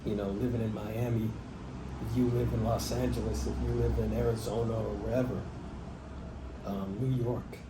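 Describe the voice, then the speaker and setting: A man's voice: lowish voice, little pitch movement, normal speed, roomy sound, noisy background. An American man vlogging casually, rambling with many restarts, about learning languages abroad. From United States. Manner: rambling, frequent restarts and fillers. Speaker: travel vlogger. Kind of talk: casual talking-head vlog.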